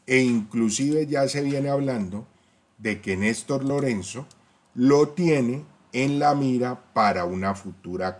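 Guy doing a football fan channel, talks right at the viewers, rattles off stats, mid pitch. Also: darkish tone. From Colombia. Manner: talks to viewers directly, tag questions, stats-heavy. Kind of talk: casual fan-channel commentary.

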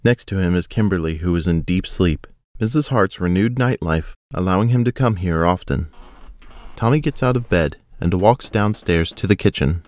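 Low-voiced man narrating an audio drama. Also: moderate pace, a little mellow, dry recording, clean studio sound.

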